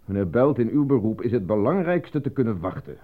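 A man's voice: fairly low pitch, big pitch swings, fairly quick. A man acting as an evasive, formal casting agent who talks down to a client in a radio drama. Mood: patronizing, evasive. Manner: formal, condescending, bureaucratic. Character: evasive, patronizing male casting agent stonewalling an unemployed actor. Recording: thin phone-like old radio recording.

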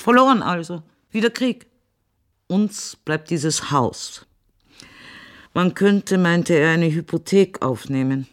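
A woman's voice: deep voice, a little mellow. Imperious old mother, wildly swooping intonation. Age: older. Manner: haughty, deliberate, probing with curt questions. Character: imperious old Viennese mother, dry and sharp-tongued. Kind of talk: radio drama acting.

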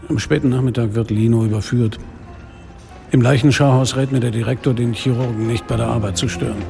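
An actor playing a weary, sardonic middle-aged police inspector, telling his story in a radio drama in a dry, measured, gravelly voice. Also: medium pitch, steady tone, warm tone, faint music behind, natural voice. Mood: grim, weary. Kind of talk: first-person radio drama narration and dialogue.

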